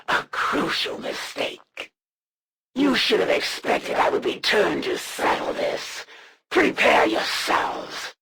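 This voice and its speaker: Casting: proud alien warrior villainess for a video game; slow, measured, imperious delivery, gravelly edge, cold menace. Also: female voice, fairly low pitch, a bit bright, full character voice. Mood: cold contempt. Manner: formal, imperious, menacing, deliberate pauses.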